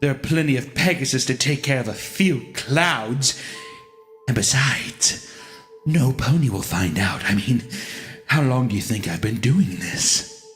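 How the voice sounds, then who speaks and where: A man's voice: mid-range voice, lively intonation, measured pace, a bit bright, soft background music. A man voicing a cheerful, murderous female pink pony in a sing-song, menacingly sweet manner for a story reading. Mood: calm menace. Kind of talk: dramatic comedic story reading.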